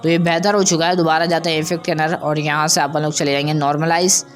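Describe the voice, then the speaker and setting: A man's voice: on the high side, flat delivery, fast, faint music behind. A man presenting an audio editing tutorial in a casual, conversational way, often checking that listeners follow. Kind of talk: informal software tutorial.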